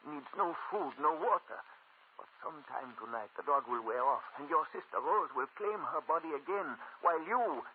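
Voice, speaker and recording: An actor plays a desperate male mystic in an old radio horror drama. His voice is high and swings widely, urgent and melodramatic as he pleads and warns. The recording is thin, like an aged broadcast. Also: expressive, moderate pace, lightly acted. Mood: grave warning. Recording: tinny, lightly noisy old radio recording.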